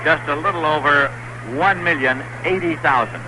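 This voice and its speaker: A man calling a baseball game play by play on the radio, brisk and excited on big plays. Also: on the high side. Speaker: play-by-play announcer. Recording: hissy 1960s radio broadcast.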